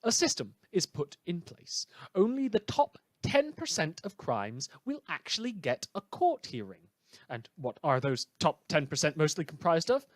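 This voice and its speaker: A man's voice: on the high side, big pitch swings, moderate pace, dry recording. A man playing an opinionated, sardonic radio host delivering an indignant monologue in a fictional radio drama. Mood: dry, sardonic. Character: opinionated male radio host ranting about corrupt heroes.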